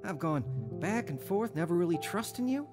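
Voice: mid-range voice, lively intonation, moderate pace, lightly acted. An actor in a radio drama playing an anguished parent who pleads with God in earnest, repentant prayer. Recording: music playing under the voice.

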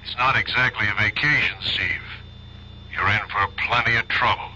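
Sharp, stern narcotics chief. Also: male voice, fairly low pitch, steady tone, normal speed, slight background noise. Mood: stern warning. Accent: American. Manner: clipped, commanding. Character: stern, businesslike male federal narcotics chief. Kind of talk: 1970s radio drama acting. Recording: lo-fi 1970s radio drama.

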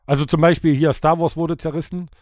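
Male co-host, slightly high voice, animated grumbling banter, dry close recording. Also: fairly quick, no room sound. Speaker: podcast co-host. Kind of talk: casual banter.

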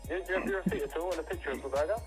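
Fast-talking man on a phone call. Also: on the high side, soft background music. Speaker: restaurant staff answering phone. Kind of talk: customer service phone call.

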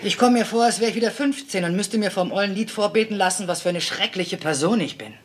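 A woman's voice: medium pitch, fast talker, a little hiss or hum, lightly acted. An actress in a German sitcom dub playing a meek, put-upon mother. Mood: nervous.

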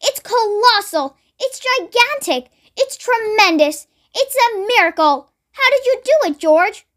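A woman voicing an excitable small father who yells and exclaims, in a storybook reading. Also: very high voice, measured pace, full character voice. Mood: thrilled. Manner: exclaiming, yelling. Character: excitable small man, a father easily worked up.

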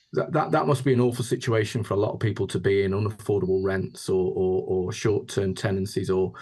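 A man's voice: sits low, a little mellow. A man, giving reflective interview answers on a video call and often repeating words as he goes. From Yorkshire, England. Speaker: interview guest, housing chief executive.

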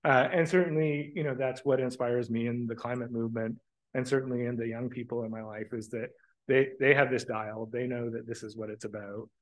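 A man's voice: mid-range voice, fairly even intonation, poor audio. Reflective man speaking from a podium. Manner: thoughtful, halting with restarts. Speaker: keynote speaker. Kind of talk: conference keynote talk. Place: lecture room with large windows. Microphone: podium microphone.

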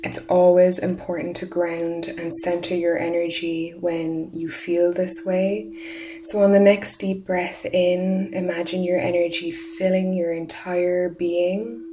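A woman leading a guided meditation in a soft, soothing, breathy voice, with short unhurried phrases. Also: lowish voice. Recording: roomy echo, steady humming background noise. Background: humming sound bath tones.

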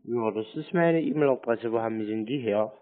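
A man with a deadpan, repetitive manner, chatting as if slow to understand while answering calls. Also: mid-range voice. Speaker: scam baiter answering calls. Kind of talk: deadpan phone prank chat. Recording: narrow-band phone-like sound.

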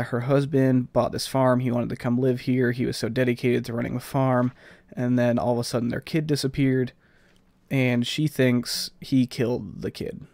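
A man's voice: mid-range voice, vocal fry, darkish tone, dry recording. A man with a slightly stuffed-up, scratchy voice giving casual, rambling gameplay commentary as a let's play host.

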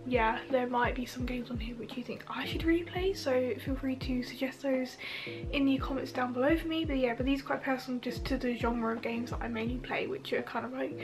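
A woman's voice: a little high, rough recording. A young woman in her bedroom, chatting and gushing about games she loves, her nose stuffy from a cold, with fillers and restarts. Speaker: YouTuber. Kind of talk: casual game recommendations. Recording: music under the voice. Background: soft background music.

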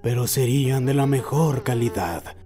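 A man voicing an obsessive old man who recounts his lifelong fixation in a solemn, deliberate horror narration. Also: medium pitch, warm tone, soft background music, close to an everyday voice. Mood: brooding, solemn. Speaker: amateur narrator of a horror short. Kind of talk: scripted first-person horror narration.

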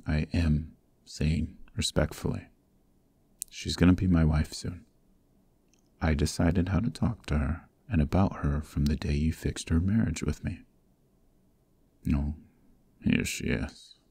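A man playing a cold-hearted, commanding young mafia heir in a scripted ASMR roleplay, speaking sternly in a gravelly voice with clipped menace. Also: fairly even intonation, a little slow, pauses often, warm tone, full character voice. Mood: cold, threatening. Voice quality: gravelly, very deep.

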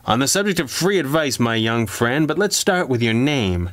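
A man's voice: mid-range voice, big pitch swings, normal speed, fairly bright tone, dry recording, pitched above the performer's own voice. A man voicing a seasoned gangland undercover man in a crime story, with a smug, casual, needling manner.

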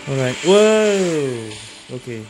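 A woman with a very low voice comments casually on a video game she is playing. She thinks aloud and reacts in short exclamations, with prominent game music behind her. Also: very animated, slow. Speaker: gaming streamer.

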